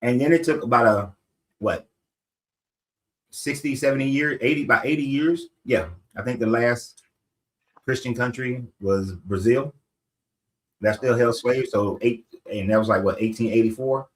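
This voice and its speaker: A man talking in a casual discussion. He reasons aloud in a measured way, checking in with rhetorical questions. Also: mid-range voice.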